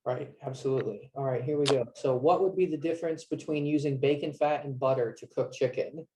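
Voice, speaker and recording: A man moderating a live cooking demo, relaxed, giving brief acknowledgements and reading out audience questions. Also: mid-range voice, a little fast, noisy background.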